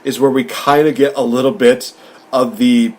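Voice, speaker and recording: Man chatting casually, mid-pitched voice. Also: fairly even intonation, normal speed. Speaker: podcast co-host reviewing films. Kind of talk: casual, conversational film discussion.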